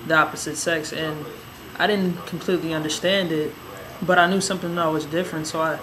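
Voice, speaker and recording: A young woman with a raspy, hoarse voice telling a personal story in a relaxed, rambling way with many fillers. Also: low-pitched, brisk, slight background noise, poor audio. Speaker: vlogger. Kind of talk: casual personal storytelling to camera.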